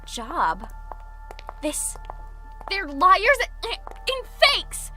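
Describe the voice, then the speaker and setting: A woman's voice: very high voice, huge pitch swings, extremely slow, bright, forceful tone, soft background music, exaggerated voice. A woman playing a defiant, desperate young woman held captive in an audio drama, with emphatic, bitter delivery. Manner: emphatic, pleading outbursts.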